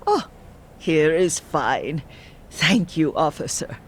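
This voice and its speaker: A woman's voice: low voice, sing-song, takes it slowly, a little hiss or hum, heavily acted. An actress playing a cheerful, confused elderly woman in a care home, talking in a vague, doddering way. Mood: pleasantly muddled. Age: older. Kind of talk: English game dub acting.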